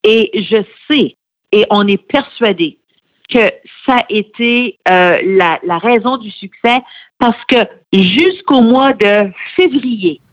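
A woman's voice: medium pitch, slow pace, no room sound. A middle-aged woman speaking as a radio interview guest, explaining things earnestly with frequent hesitations. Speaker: radio guest, head of a francophone federation. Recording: slightly muffled, dry recording.

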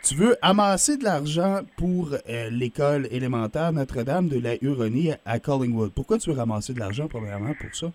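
A man's voice: medium pitch, animated, a little fast, hardly any pauses, a little mellow. A man with a Canadian French accent hosting a casual, upbeat interview as a radio host.

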